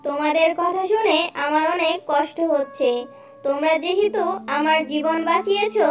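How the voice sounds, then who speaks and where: An earnest young fairy, voiced by a woman for a children's cartoon, extremely high in pitch. She sounds roomy and set back from the mic, with music behind. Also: normal speed, distant mic. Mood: grateful, kind. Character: kind, grateful, defiant young female fairy.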